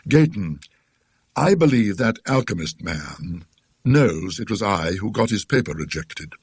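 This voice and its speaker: A British man voicing an anxious, glum scholarly gentleman in a storybook reading, hesitant and understated. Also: on the low side, very animated, measured pace, slightly rough, no echo, studio quality, full character voice. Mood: uneasy.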